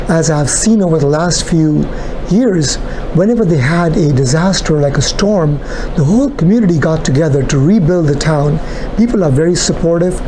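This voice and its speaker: A middle-aged man from India answering interview questions in a measured, earnest way, with long pauses. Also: fairly high pitch, background noise. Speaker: interviewee, cardiologist.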